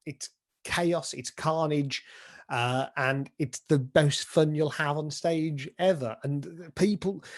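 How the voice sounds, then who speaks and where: A British man speaking casually as an interviewed theatre performer and director, enthusiastic and full of illustrative examples. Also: mid-range voice. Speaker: pantomime dame and director being interviewed. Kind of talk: casual interview chat about stage craft.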